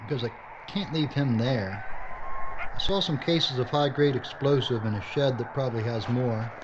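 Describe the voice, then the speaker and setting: A man's voice: medium pitch, normal speed, natural voice. A man playing a practical, wry and determined action hero in an audio drama. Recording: muffled lo-fi audio, noisy background.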